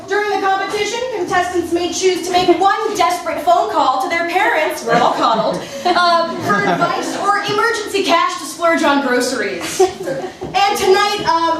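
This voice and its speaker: Woman as very high-pitched bubbly TV hostess, echoey theater. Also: lively intonation, moderate pace, a crowd in the background. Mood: gushing. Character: bubbly female TV cooking show host Sherry. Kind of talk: parody TV game show presenting. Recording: echoey live theater, distant mic, audience noise.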